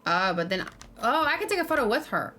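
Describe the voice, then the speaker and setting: A woman's voice: a little high, very animated, fairly quick, bright, forceful tone, slight background noise. A woman giving casual let's play commentary, thinking aloud and chatting to her viewers over game music. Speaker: livestreamer playing a video game. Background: game music, typing.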